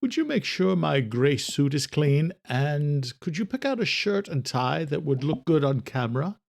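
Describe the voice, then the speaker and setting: A man voicing a formal, troubled geneticist doctor in an audiobook, with measured, clipped speech. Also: on the high side, very animated, vocal fry, voice pushed up, full character voice. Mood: familiar, weary.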